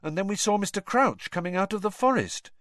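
A man's voice: high-pitched, lively intonation, fairly quick, a bit bright, no room sound, studio quality, pitched above the performer's own voice. A man voicing an urgent, insistent teenage boy wizard, breathless and pleading. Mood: earnest.

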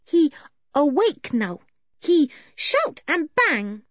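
Casting: childlike wild girl for a storybook, voiced by a woman; high, slow, simple halting speech, gleeful. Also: very animated, no room sound, lo-fi sound, exaggerated voice. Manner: halting, simple broken phrases. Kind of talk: audiobook character voice.

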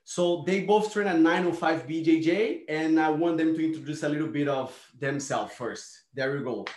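A man's voice: a little high, normal speed, a little mellow. A male host of a martial arts interview show, talking casually and rambling, with many tag questions. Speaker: podcast host, martial artist. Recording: echoey room.

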